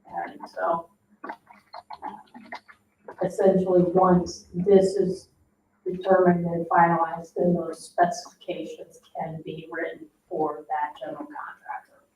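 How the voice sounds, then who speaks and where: A woman with a lowish, level voice explains details at a public meeting in a halting, measured way. She is picked up from across an echoey room. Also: little pitch movement, dark tone. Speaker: staff member briefing a board. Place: indoor town board meeting room. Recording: roomy, distant, muffled meeting-room microphone with noise.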